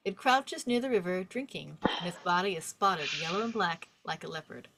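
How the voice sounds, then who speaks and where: A woman narrating the stage directions at a script table read in an expressive storytelling manner. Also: fairly low pitch, moderate pace. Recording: faint background noise and clicks.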